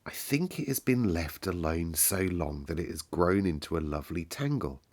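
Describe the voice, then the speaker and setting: A man voicing a gentle young girl in a storybook, speaking slowly in a soft, soothing drone. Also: deep voice, sing-song, no room sound. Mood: soothing, dreamy. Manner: slow, hushed, droning.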